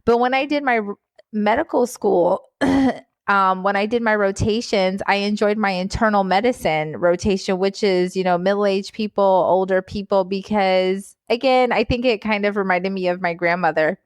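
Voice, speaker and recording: A woman from Guyana, a physician guest, telling her story in a casual interview, animated and laughing often. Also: medium pitch, normal speed, no echo. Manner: animated, laughs often, repeats words for emphasis.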